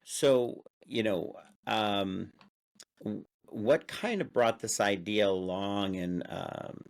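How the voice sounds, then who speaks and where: Gravelly-voiced male interview host. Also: on the low side. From Illinois. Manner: halting, many fillers and restarts. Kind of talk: casual interview chat.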